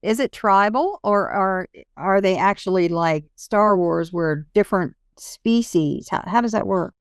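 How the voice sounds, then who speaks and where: A woman's voice: mid-range voice, a little slow, dry recording, studio quality. A woman hosting an interview in a casual, conversational style. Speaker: interview host.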